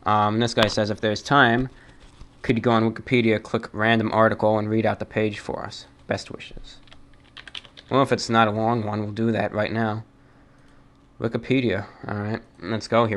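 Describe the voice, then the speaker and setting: Low-pitched, even-toned man hosting. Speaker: host of a fan mail radio show. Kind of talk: unscripted talk, reading and answering listener mail.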